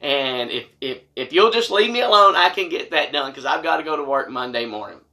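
A man's voice: on the high side, animated, a little fast, vocal fry, bright, forceful tone. A middle-aged American man telling a personal story to camera in a casual, folksy and emphatic way. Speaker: storytelling vlogger. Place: small wood-paneled room indoors.

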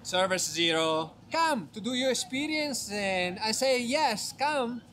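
A man's voice: very high-pitched, slow, slight background noise. Man giving blunt food verdicts. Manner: blunt, broken grammar, expressive. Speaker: vlog co-host. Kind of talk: casual food review chat. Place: city streets at night. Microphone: handheld camera at arm's length.

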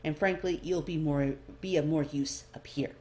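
A woman voicing a chatty, flustered male elf librarian in a fantasy story, hurried and slightly impatient. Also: low-pitched, steady tone, warm tone, a little hiss or hum, lightly acted. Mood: breezy.

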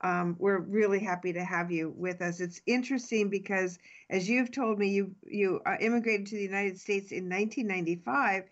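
A woman's voice: mid-range voice, steady tone, brisk, few pauses. A woman radio host with a mid-range voice introduces her guest in an upbeat, scripted way, with small slips now and then. The sound is slightly dull and lo-fi.

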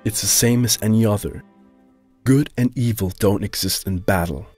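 A cold, proud young rival trainer, played by a man in an anime-style dub. His voice is low, and he talks in a stern, dismissive way that prizes strength above all. Music plays behind him. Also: normal speed, darkish tone, husky. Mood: cold, scornful. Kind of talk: dramatic anime-style voice acting.

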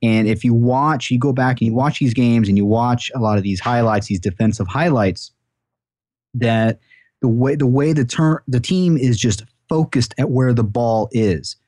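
A man's voice: medium pitch, moderate pace, darkish tone, no echo. A man giving earnest, wordy, conversational sports analysis as a podcast guest.

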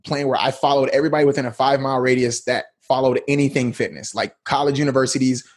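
A man in his thirties talks through his career as a podcast guest, relaxed and full of slang. His voice has a slightly husky grain, sitting at an ordinary middle pitch. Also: fast. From United States. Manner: laid-back, slangy storytelling. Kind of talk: casual interview talk.

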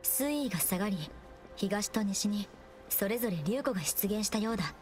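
A woman voicing a young female game adventurer who thinks aloud in a measured, composed, observant way. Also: medium pitch, lively intonation, lightly acted. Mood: calm, focused. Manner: measured, composed, quietly musing. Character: young female wanderer, calm, observant puzzle-solving explorer. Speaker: game protagonist. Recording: game music over the voice. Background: game music.

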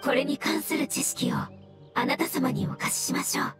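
A woman voicing a gentle, solemn mushroom spirit in an anime game, speaking formally and with earnest courtesy. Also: high voice, steady tone, normal speed, close to an everyday voice. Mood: serious, cautious. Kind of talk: Japanese anime game voice acting. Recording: game music behind.

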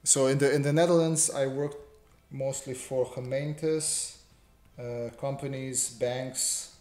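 A man's voice: medium pitch, steady tone, slow pace, dark tone. Man streaming in an echoey room. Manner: informal, reads viewer questions aloud and answers. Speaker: livestream host, illustrator. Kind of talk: casual livestream chat while drawing. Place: small indoor home room. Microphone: desk microphone on improvised stand. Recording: roomy echo, faint background noise.

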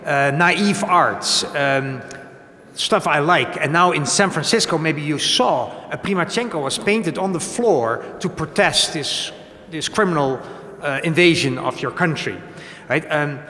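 A Dutch-accented man in his forties speaks into a handheld mic on a small gallery stage, with a rather high voice for a man. He works his way through ideas with hesitations and tag questions. The room is echoey, with a long reverb tail. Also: expressive, a little slow, sharp tone. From Netherlands. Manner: explains with many hesitations and tag questions, gestures a lot. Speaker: guest. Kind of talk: onstage talk show conversation.

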